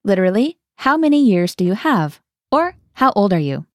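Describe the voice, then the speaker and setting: A woman's voice: medium pitch, sing-song, moderate pace, dry recording, clean studio sound. A woman hosting a scripted language lesson, guiding the learner and translating each phrase into English.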